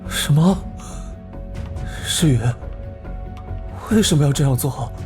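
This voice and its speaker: A man playing an emotional, indignant young male student in an animated drama, speaking in an agitated, protesting way. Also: on the high side, slow, music in the background. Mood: shocked. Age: young adult.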